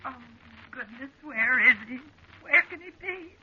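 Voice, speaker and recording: A sweetly credulous young American woman in a 1940s-style radio drama. Her delivery is fretful and very animated, full of exclamations, heard through a dull, faintly noisy old recording. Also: sits high, unhurried. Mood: fretful, searching. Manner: exclamatory, fretful, wide-eyed.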